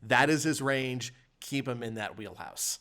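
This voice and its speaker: A man's voice: medium pitch, measured pace, sharp tone. A man co-hosting a podcast, chatting and commenting on news in a blunt, opinionated, casually sweary way. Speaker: podcast co-host.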